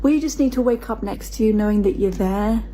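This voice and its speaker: A woman's voice: mid-range voice, a little fast, mellow, a little hiss or hum. A woman making an emotional, pleading direct appeal in a repetitive, insistent way. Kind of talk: emotional direct-to-camera social media appeal.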